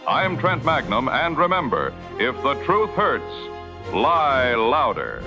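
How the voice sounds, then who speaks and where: Man playing a deadpan, animated satirical radio newsman. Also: medium pitch, slow pace, loud music behind the voice, exaggerated voice. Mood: mock-serious. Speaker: news anchor. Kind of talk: mock radio news bulletin, scripted.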